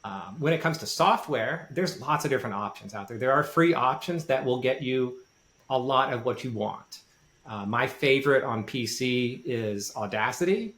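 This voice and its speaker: A man giving informal, explanatory podcast advice in a light voice without much bass. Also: lively intonation, moderate pace, fairly bright tone. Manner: informal, self-deprecating. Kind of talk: casual explanatory podcast talk. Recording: roomy, echoey sound.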